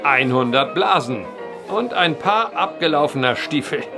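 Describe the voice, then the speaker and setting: An actor in a German audio drama playing a teasing, unyielding gambler with a wry, mocking manner. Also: male voice, fairly high pitch, expressive, measured pace, vocal fry, over music. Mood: challenging.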